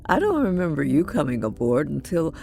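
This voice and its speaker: A woman's voice: low-pitched, very animated, fairly quick, creaky, dark tone, exaggerated voice. A woman playing an older, weary, reflective starship captain in an audio drama. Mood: wry, warm.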